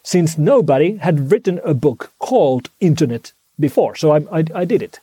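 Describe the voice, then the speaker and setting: A man's voice: a little high, very animated, normal speed, mellow, husky, dry recording. A man with a Finnish accent, a native Finnish speaker, talking as an interview guest with dry humor and frequent repeated words. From Finland. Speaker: guest author. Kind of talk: conversational interview.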